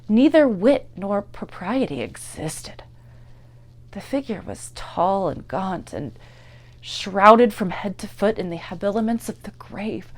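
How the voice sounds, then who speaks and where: A woman giving a dramatic, theatrical narration of a gothic horror story, moving between hushed and intense delivery. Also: mid-range voice. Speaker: actor and performer reading a story.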